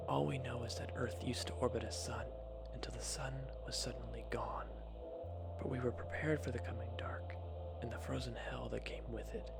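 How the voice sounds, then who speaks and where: Guy doing a shrewd underground relic collector, creaky hushed whisper, sci-fi asmr story, music behind. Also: mid-range voice, steady tone, normal speed, lightly acted. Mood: somber, wistful. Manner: hushed, conspiratorial.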